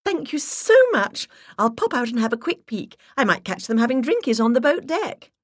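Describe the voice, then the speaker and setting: A woman's voice: sing-song, normal speed, no room sound. Woman putting on a giggly, gushing English flirt. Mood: gushing, flirty. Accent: English, exaggerated. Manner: high, breathy, fluttery and effusive.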